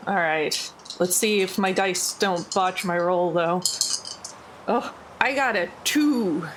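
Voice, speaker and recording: A woman's voice: lowish voice, slow pace, a little hiss or hum. A woman playing a tabletop game, talking in an upbeat, playful way. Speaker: player.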